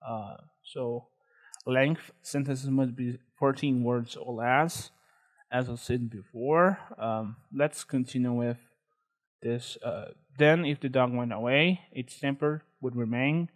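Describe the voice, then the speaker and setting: Young man, Brazilian Portuguese accent, casual screen tutorial, filler-heavy, mid-pitched voice. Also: slow. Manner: relaxed, hesitant, many fillers and restarts. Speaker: tutorial host. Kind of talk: screen-recorded walkthrough.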